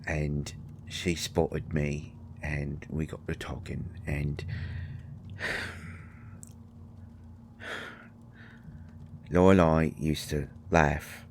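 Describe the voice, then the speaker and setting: An actor playing a grieving, gruff and defensive fairy husband in a roleplay audio drama, speaking haltingly and with raw emotion. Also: male voice, a bass voice, flat delivery, slight background noise, full character voice. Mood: wistful, grieving. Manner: halting, emotional, swinging from snappish outbursts to quiet murmurs.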